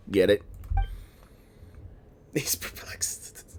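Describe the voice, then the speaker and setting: A congested, tight-chested gamer giving playful, teasing casual commentary on a playthrough. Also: very slow. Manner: playful, teasing, reads dialogue aloud. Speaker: game streamer. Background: game music.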